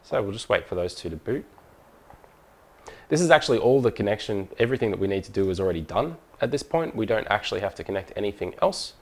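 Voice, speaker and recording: A man's voice: on the low side, animated, fast talker. A man presenting a hands-on product tutorial in an informal, methodical, step-by-step way.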